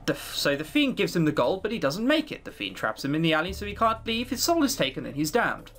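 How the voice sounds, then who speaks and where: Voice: medium pitch, very animated, quick pace, a bit bright, slight background noise. A game commentator voicing an offhand poor boy who tells a folk tale in blunt, slangy words. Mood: offhand storytelling.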